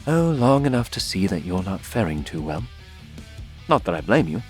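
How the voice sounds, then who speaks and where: Guy acting as cocky young elf prince, relaxed n boastful, voice really swings around, guitar music under it. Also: low voice, moderate pace. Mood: smug, relaxed. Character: cocky, youthful muscular elf prince and self-styled hero. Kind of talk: dramatized fiction audiobook.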